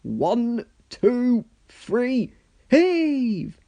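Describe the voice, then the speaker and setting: Railway boss, male: stern, authoritative, measured storybook figure, man reading the part. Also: very high voice, very animated, creaky, dark tone, highs cut off, pitched above the performer's own voice, full character voice. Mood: commanding.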